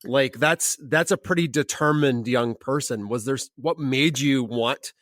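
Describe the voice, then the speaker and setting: A woman hosting a science podcast, reading plugs and asking quick, upbeat follow-up questions. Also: rumbling low, no room sound, clean studio sound. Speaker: podcast host. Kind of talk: casual interview and plugs.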